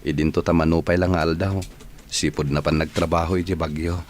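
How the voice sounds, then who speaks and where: A low-pitched, sentimental young man in love, acted by a man in an Ilocano-accented radio drama. He is earnest and soft-spoken, a devoted trainee sweetheart, with faint noise behind him. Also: little pitch movement, brisk, close to an everyday voice. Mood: lovesick, sad.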